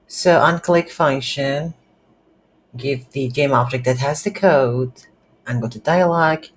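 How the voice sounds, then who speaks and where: An instructor talks through a coding tutorial without a script, thinking aloud. The delivery is very slow and halting, in a medium-pitched voice. There is faint noise behind the recording. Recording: light background noise.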